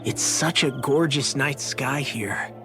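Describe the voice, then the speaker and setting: A man voicing a brash, warm-hearted teenage boy fighter in a video game cutscene, with a casual, blurting delivery. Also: mid-range voice, slow, close to an everyday voice. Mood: upbeat, casual. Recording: music behind the voice.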